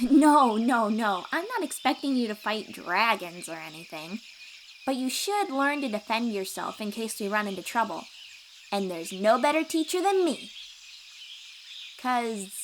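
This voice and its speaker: A woman playing a cheerful, boastful young sea-dwelling warrior in an audio roleplay, eager and expressive as she talks to the listener. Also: on the high side, a little hiss or hum. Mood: excited, encouraging. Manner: eager, bragging, reassuring, expressive storyteller.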